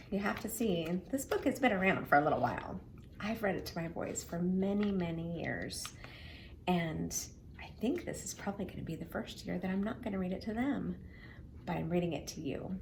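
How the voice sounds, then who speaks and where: A middle-aged woman reading to children in an expressive, simple, explanatory way. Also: fairly low pitch, creaky, slight background noise. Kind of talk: children's read-aloud with friendly introduction.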